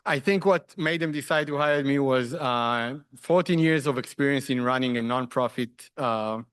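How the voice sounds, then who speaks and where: A middle-aged man from Israel with an Israeli accent, giving halting remarks as a panelist with many restarts and fillers. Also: mid-range voice, fairly bright tone, clear, resonant tone.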